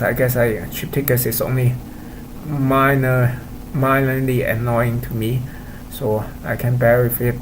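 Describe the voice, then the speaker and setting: Man, Cantonese first language, casual vlog rant, hesitant and annoyed, mid pitch, faint noise behind. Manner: halting, full of fillers and restarts, complaining. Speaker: vlogger. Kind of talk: casual monologue to camera.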